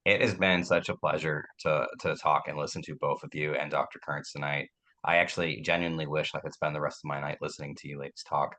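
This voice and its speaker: A man hosting a panel discussion on a video call, his voice hoarse from a sore throat as he sums up and invites correction. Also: extremely low pitch, steady tone, quick pace, sharp tone. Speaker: podcast host.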